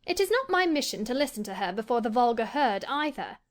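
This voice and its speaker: A woman's voice: a little high, sing-song, a little fast, voice pushed up. A woman voicing a proud, spoiled young lady in a storybook reading, haughty and commanding. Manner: imperious, commanding.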